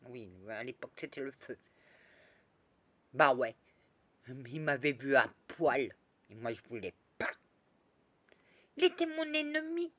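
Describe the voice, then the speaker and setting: A woman recounts a long personal tale in a loose, rambling way, doubling back and restarting her sentences. Her voice is bright and forward, and she takes her time. The recording is dry, with no room sound. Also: extremely low pitch, a little slow, long pauses, dry recording. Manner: lively, rambling, with restarts and asides. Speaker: storyteller recounting past lives. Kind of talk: casual spoken storytelling.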